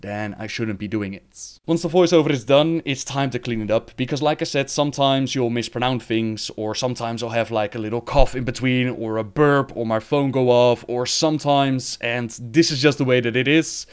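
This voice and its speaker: A man's voice: mid-range voice, moderate pace, few pauses, smooth. A man from the Netherlands with a Dutch accent, speaking English as a second language, giving an earnest, chatty scripted voiceover about how he makes his videos from his home desk. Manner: earnest, chatty, self-deprecating asides. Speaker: lore video creator.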